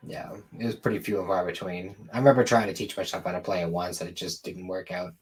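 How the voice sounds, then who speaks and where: A man's voice: deep voice, steady tone, fast, poor audio. A man co-hosting a podcast, talking casually and offhandedly in an informal conversational way. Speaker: podcast co-host. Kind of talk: casual chat about anime and games.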